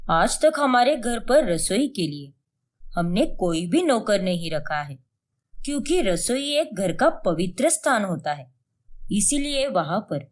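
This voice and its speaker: A woman puts on a wealthy, traditional mother-in-law for an animated tale. The voice is dropped lower and moves with measured, exacting politeness, firm about family custom. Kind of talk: acted animated moral story.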